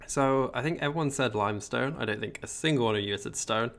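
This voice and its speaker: A man's voice: mid-range voice, fast talker, gravelly, a bit bright. A young English man chatting casually on a gaming livestream, rambling about his week and answering viewers. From England. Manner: chatty, rambling, reads chat aloud. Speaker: streamer.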